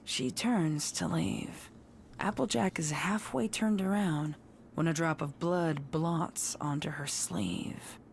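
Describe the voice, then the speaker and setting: Female horror narrator, low register, unhurried and suspenseful dramatic read, music underneath. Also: vocal fry, slight background noise. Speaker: story narrator.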